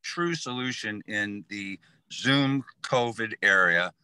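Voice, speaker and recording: Bright, forceful man, dry close sound. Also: lowish voice, slow, clean tone, no echo. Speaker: panel guest. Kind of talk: casual panel chat.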